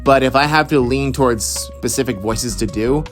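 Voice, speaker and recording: A man's voice: mid-range voice, moderate pace, soft background music. Young man chatting casually about voice acting. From Houston, Texas. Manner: rambling with fillers and restarts. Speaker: voice actor answering follower questions. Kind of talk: casual Q&A monologue.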